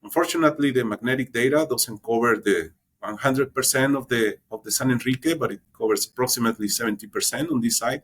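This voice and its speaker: A man of middle pitch talking through technical plans in an interview. He speaks haltingly, doubling words and restarting phrases as he goes. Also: a bit bright, a little hiss or hum. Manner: halting, repeats words while searching for them. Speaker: exploration geologist guest. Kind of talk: interview explanation.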